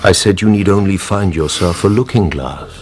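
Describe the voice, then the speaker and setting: A man acting a cool, vengeful aristocratic count in a radio drama, speaking formally and with measured politeness. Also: deep voice, slight background noise, lightly acted. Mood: cold, vengeful.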